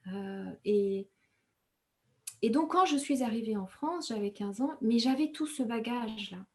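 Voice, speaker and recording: A woman from Rwanda, raised speaking Kinyarwanda, giving thoughtful interview answers as an author, measured and pausing often to find her words. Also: on the high side, expressive. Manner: thoughtful, measured, with frequent hesitations and self-corrections.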